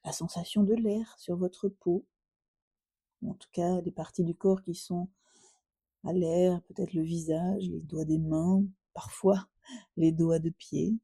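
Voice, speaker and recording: A woman yoga teacher guiding a relaxation meditation in a soothing, unhurried way with gentle pauses. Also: on the low side, steady tone, slightly rough, dry recording.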